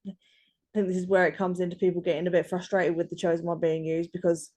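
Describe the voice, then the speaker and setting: Hesitant woman, low voice. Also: little pitch movement, quick pace, mellow. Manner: hesitant, many ums and restarts. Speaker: guest author. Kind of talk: casual interview.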